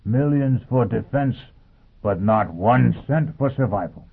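A man's voice: medium pitch, lively intonation, a little slow, creaky, slightly rough, full character voice. A man voicing a savage, fanatical fugitive in a science fiction audiobook, exhorting in short emphatic bursts. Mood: grim, triumphant. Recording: muffled, phone-like narrow band.

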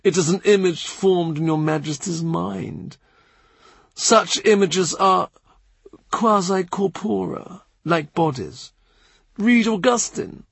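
A man's voice: sits high, slow pace, vocal fry, fairly bright tone, breathy edge, dry recording, voice pushed up, exaggerated voice. A man voicing a learned churchman who corrects a king in a precise, faintly impatient way. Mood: lecturing. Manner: lecturing, precise.

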